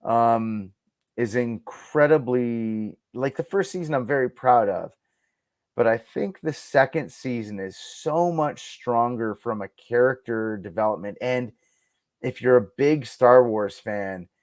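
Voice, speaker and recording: A man with an average-pitched voice talks casually as an interview guest. He is emphatic and enthusiastic, telling things in an animated conversational way. The recording is dry and close. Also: unhurried, no echo. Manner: enthusiastic, emphatic, acts out quoted lines. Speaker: guest, radio producer and audio drama creator.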